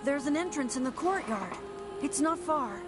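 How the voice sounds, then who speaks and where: An actor voicing a gentle, shy young boy magician in a video game. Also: extremely high pitch, expressive, moderate pace, background noise. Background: loud game music.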